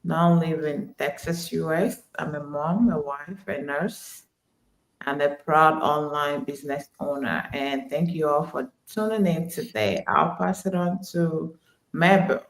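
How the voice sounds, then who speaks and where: A woman's voice: low voice, steady tone, measured pace. A woman with a Nigerian accent introducing herself as a panelist. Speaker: panelist, nurse. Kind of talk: self-introduction on an online panel. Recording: echoey room.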